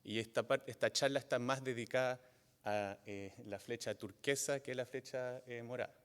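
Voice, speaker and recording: A man, not from Chile, giving a university guest lecture, halting often with fillers and restarts. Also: mid-range voice, fairly even intonation, a bit bright, clear, resonant tone. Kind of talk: academic lecture. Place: lecture hall. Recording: long reverb of a lecture hall.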